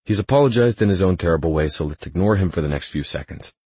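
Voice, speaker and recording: A man's voice: low voice, expressive, quick pace, a little mellow, husky, no room sound. Exasperated fussy male assistant, as a narrator voices him. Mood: weary, pleading. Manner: urgent, exasperated pleading.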